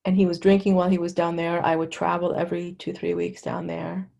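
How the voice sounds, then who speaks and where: A middle-aged woman from Lebanon with a fairly low voice and a gravelly fry. She tells her personal story candidly and conversationally, halting now and then as she goes. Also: monotone, mellow, dull audio. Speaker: interview guest. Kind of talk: personal testimony in casual conversation.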